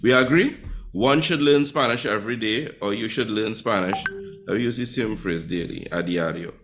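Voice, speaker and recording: A man's voice: on the low side, normal speed, music in the background. Playful man teaching. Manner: playful, teasing, chatty with students. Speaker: Spanish teacher. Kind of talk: live online class.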